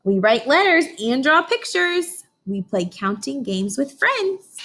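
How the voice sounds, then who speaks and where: A woman teacher reading a picture book aloud to young children, expressive, often pausing to question her listeners directly. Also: high-pitched. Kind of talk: informational read-aloud lesson for young children.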